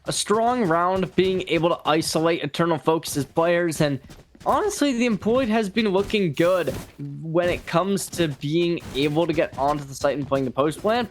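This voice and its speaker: A man giving measured, explanatory live analysis of a video game match as an esports commentator. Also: on the high side, slight background noise.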